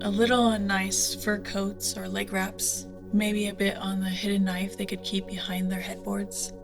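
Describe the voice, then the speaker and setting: An actress plays a streetwise young woman who flies an airship, tough and protective of her own. She speaks in audio drama dialogue, with a defiant edge. Clearly audible music runs underneath. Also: medium pitch, level tone, unhurried. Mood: resolute, confiding.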